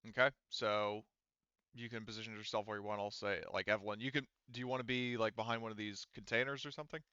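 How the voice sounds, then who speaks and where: A man acts as game master, speaking casually in a halting, feeling-his-way manner. His voice is bright and clean, recorded dry on a slightly dull microphone. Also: fairly low pitch, fairly even intonation, clean tone, dry recording. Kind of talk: casual tabletop game narration, directing players.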